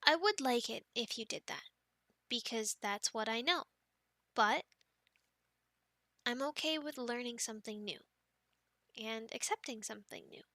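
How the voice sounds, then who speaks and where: Bright-voiced young woman, chatty ASMR roleplay. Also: on the high side, unhurried, long pauses, no room sound. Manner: soft, chatty and rambling, with many ums and light laughs.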